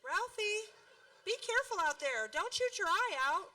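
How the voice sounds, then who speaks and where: A woman's voice: extremely high pitch, very animated, moderate pace, pushed and bright, far from the mic, heavily acted. A woman playing a protective, fussing mother of a young boy in a radio play. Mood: worried, calling.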